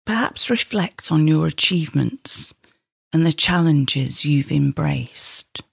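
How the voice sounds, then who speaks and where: A middle-aged woman leading a guided meditation in a soothing, measured, instructing manner. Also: low voice, expressive, creaky, breathy edge, no room sound, clean studio sound.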